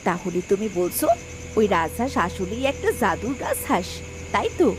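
A woman's voice: medium pitch, expressive, normal speed, close to an everyday voice. A woman voicing a greedy village wife in a cartoon, insistent and demanding. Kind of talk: cartoon dialogue acting. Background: music.

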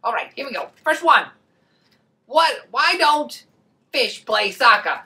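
A woman voicing an excitable, friendly boy puppet, explaining things in an animated way for a children's show. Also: high-pitched, slow pace, distant mic, full character voice. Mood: excited, silly.

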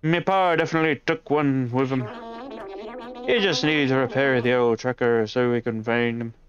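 A man's voice: medium pitch, fairly even intonation, moderate pace, highs cut off, crowd noise, close to an everyday voice. A man reading a young game character's lines in a put-on Irish accent, exaggerated and playful. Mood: earnest.

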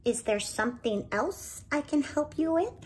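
A woman doing a gentle helpful bird. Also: high voice, animated, unhurried, pitched above the performer's own voice. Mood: kindly. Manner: eager, obliging, slightly timid.

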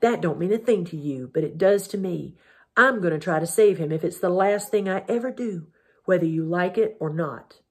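A boy, grief-stricken and furious, half crying and half shouting at his mother, as a woman reading the storybook puts him on. His voice sits low for a woman's and comes out husky, loud and strained. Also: moderate pace. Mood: raging, tearful.

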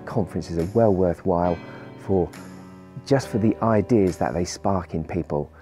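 An older man giving an earnest, measured interview soundbite as a conference attendee, with music underneath. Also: deep voice, mellow.

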